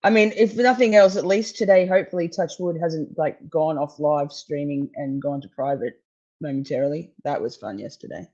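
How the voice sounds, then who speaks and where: A woman's voice: sits low, moderate pace, mellow, muffled recording. A woman hosting a live stream and commenting on a trial in a rambling, chatty way, a bit wheezy today. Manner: chatty, rambling, self-correcting. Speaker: livestream host commenting on a trial. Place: at home with pets.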